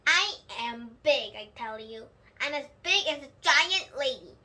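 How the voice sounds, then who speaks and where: Woman playing huffy little storybook girl, super high n bright, stretches words, insists she's grown up, bit of noise. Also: lively intonation, exaggerated voice. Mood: boastful. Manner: emphatic, huffy, drawn-out stressed words.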